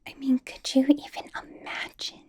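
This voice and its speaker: A woman playing a possessive, doting female vampire boss in a whispered ASMR roleplay, coaxing softly with a sweetly sinister edge. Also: natural voice. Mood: amused. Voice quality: breathy, whispered. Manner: slow, coaxing, soft giggly delivery.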